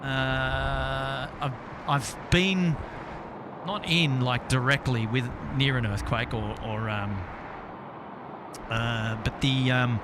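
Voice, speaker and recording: A man's voice: mid-range voice, steady tone, slow pace, noisy background. An Australian man hosting a casual live plane-spotting stream outdoors, talking in a hesitant, rambling way. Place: outdoors near an airport at night.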